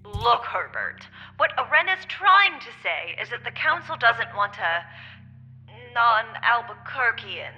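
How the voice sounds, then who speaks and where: A woman playing a blunt, skeptical mer council envoy in an audio drama, snappy and deadpan, through a radio-like filter. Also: fairly high pitch, slow pace, muffled recording, faint music behind, exaggerated voice. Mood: blunt, irritated.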